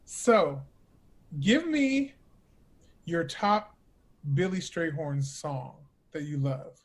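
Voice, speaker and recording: A man's voice: a little high, sing-song, drawn-out words. A man hosting a casual interview, talking in an effusive, encouraging manner. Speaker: interview host.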